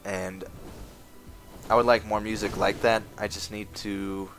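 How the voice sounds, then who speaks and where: A man talking casually over his gameplay footage, rambling with lots of fillers, his voice going hoarse. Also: lowish voice, flat delivery, measured pace, a bit bright. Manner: rambling, full of uh fillers, chatty. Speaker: gaming video maker. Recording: game music playing underneath. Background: game music.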